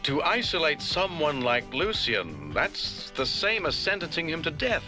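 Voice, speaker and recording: A man's voice: on the high side, sing-song, a little slow. A man voicing a solemn, pleading defender in a 1970s space cartoon. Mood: grave pleading. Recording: old cartoon clip under music.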